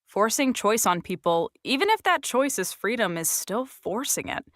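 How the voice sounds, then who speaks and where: A woman's voice: fairly high pitch, big pitch swings, moderate pace, a bit bright, no room sound. Sassy, frank woman, dry close sound. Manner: casual, blunt, playful. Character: frank, sassy, contented human female attendant. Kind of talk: audio drama dialogue.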